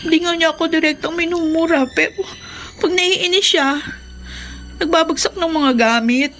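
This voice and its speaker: A woman's voice: extremely high pitch, normal speed, fairly bright tone, slight background noise. An actress with a Filipino accent playing a hurt, tearful young wife resentful of her mother-in-law in a radio drama. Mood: sulking, hurt.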